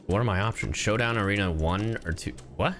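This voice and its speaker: A man's voice: fairly low pitch, brisk, a bit bright, slight background noise. A man chatting casually as a gaming streamer, joking and swearing freely. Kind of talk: casual gaming livestream chat. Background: game music.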